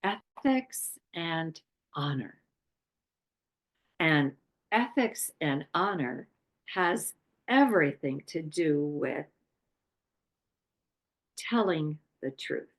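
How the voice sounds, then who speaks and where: A woman from Poland leads a talk on chakras. Her voice sits low and she takes her time, pausing often and trailing into fillers. She teaches informally and thinks aloud as she goes. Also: lively intonation. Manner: slow, halting, with many pauses and fillers. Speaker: chakra workshop presenter. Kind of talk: unscripted spiritual talk.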